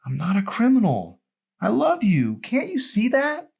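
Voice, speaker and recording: A man's voice: sits high, wildly swooping pitch, a little slow, creaky, darkish tone, breathy edge, pitched above the performer's own voice, exaggerated voice. A man voicing a frightened but loving teenage grandson in an audiobook, pleading in an urgent, strained way. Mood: desperate, loving.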